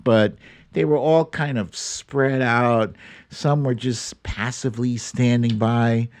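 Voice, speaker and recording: A man hosting a livestream, giving informal, wry and halting commentary as he chats with viewers. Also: mid-range voice, steady tone, no echo. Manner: informal, wry, halting delivery with occasional swearing. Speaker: livestream host. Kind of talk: casual live commentary, reading viewer comments.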